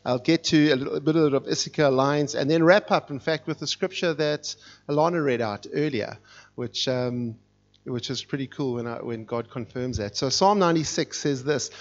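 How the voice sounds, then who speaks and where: A middle-aged man preaching as a guest in a church, talking with energy and reading scripture with rising force. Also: normal speed. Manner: energetic, fired up, reads scripture with rising intensity. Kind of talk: sermon. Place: church sanctuary. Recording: dull, muffled low-fidelity sound.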